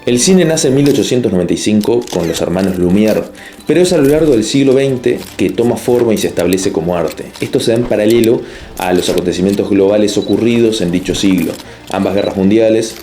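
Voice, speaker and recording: Hombre de voz grave y cálida. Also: male voice, fairly low pitch, fast talker, gravelly, mellow, soft background music. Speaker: video essay narrator. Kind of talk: scripted narration.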